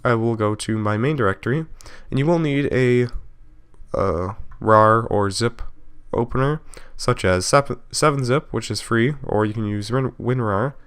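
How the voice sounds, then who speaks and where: A man narrating a software tutorial casually, explaining step by step in an informal way and correcting himself as he goes. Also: mid-range voice, a little slow, clear, resonant tone. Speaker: video tutorial host.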